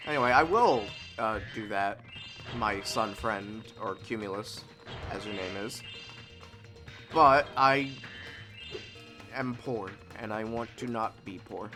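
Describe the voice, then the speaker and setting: A man talks through a video game session in a bright, forceful voice, with faint game music underneath. He sounds a little sniffly and chats loosely, now and then bursting into excited shouts before dropping back into low asides. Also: slow pace, pauses often. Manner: chatty and self-deprecating, jumps between excited shouts and mumbles. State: sniffly nose. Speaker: let's play host. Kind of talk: casual gameplay commentary.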